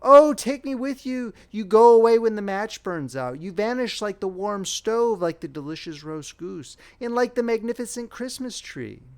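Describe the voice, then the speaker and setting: A man's voice: high voice, animated, normal speed, hardly any pauses, voice pushed up. A man voicing a freezing, poor little girl from a storybook, imploring and exclamatory. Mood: desperate pleading.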